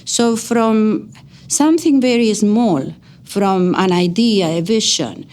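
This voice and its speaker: A middle-aged woman with an Argentine Spanish accent, her native language being Spanish, speaks at a medium pitch. She tells her own story as an educator would, in an earnest way. Key words get clear emphasis as she goes. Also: slow pace, darkish tone. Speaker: educator and researcher. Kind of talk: personal account to camera.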